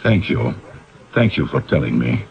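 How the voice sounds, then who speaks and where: Deep-voiced, brooding, grandiloquent author. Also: male voice, very animated, moderate pace, creaky, exaggerated voice. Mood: troubled, curt. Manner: theatrical, sardonic, self-dramatizing. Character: brooding, grandiloquent male author, bitter and sardonic. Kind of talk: 1970s radio drama acting. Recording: dull lo-fi 1970s radio broadcast.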